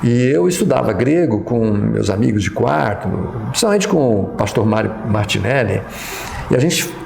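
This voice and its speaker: A man's voice: mid-range voice, animated, normal speed, creaky, a little mellow, echoey room. A middle-aged man with a Brazilian Portuguese accent, a pastor and professor recalling his past in an interview, talking hesitantly with many fillers and restarts. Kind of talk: reminiscing interview.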